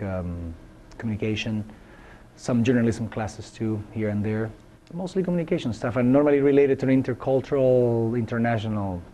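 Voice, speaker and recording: Chilean man with a mellow voice. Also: medium pitch, slight background noise. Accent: Chilean Spanish. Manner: thoughtful, halting, many fillers and restarts. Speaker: university professor. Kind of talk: answering interview questions.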